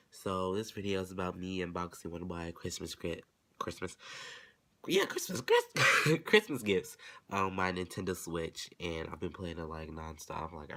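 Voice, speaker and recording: A man's voice: low-pitched, bright, forceful tone. A teenage boy vlogger chatting casually through an unboxing while sick with a cold, his throat scratchy, rambling with lots of likes and you-knows. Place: indoor room. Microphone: camera set up some distance away. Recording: very echoey room, distant mic, music behind.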